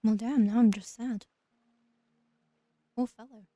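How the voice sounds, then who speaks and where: A woman's voice: fairly high pitch, steady tone, unhurried, mellow, dry recording. A woman giving casual let's play commentary as a gamer, with dry, wry asides.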